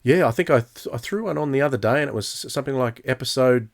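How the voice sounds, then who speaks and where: A man's voice: mid-range voice, animated, fast talker, vocal fry. A man hosting a podcast, chatting casually and thinking aloud as he goes. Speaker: podcast host.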